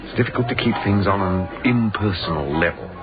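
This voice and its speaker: An actor playing a curt, controlling husband and factory boss in a radio drama, middle-aged, with a British accent and a clipped, dry delivery. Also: male voice, lowish voice, animated, brisk, music in the background, natural voice. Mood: blunt, dismissive. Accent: British English. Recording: dull, band-limited radio drama sound.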